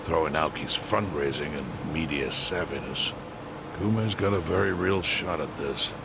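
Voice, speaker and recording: An actor playing an elderly, world-weary chairman in a video game, with a measured, dry, pragmatic manner over background noise. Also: a bass voice, gravelly, heavily acted. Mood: grave, resigned. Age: older. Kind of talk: English video game voice acting.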